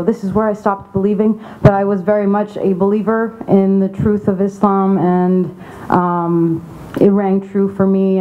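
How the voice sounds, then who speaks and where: Low-voiced young woman, tinny recording. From Saudi Arabia. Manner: candid, informal and conversational. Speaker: advocacy representative. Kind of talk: conference speech. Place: conference venue. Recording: tinny phone-like sound.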